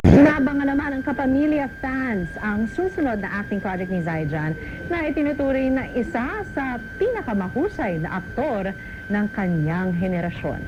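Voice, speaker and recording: A woman's voice: high voice, huge pitch swings, moderate pace, background noise. A Filipino woman reading scripted entertainment news as a presenter, heard on a radio broadcast taped to cassette. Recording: dull radio on cassette, loud music.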